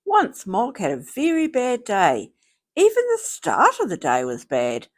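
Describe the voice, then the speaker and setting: A woman reading a children's storybook aloud in a theatrical, deliberate way. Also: mid-range voice, wildly swooping pitch. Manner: slow, theatrical, deliberate phrasing. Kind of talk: expressive scripted storybook read-aloud.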